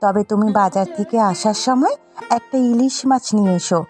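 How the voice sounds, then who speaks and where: A woman voicing a caring, gently nagging village housewife in a cartoon story, coaxing and insistent. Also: mid-range voice, a little fast, soft background music, natural voice. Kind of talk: Bengali cartoon story dialogue.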